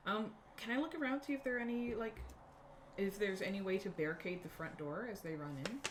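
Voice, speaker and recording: A woman's voice: medium pitch, fairly quick. A woman chatting casually as a player in a tabletop game, describing her moves. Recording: faint room noise.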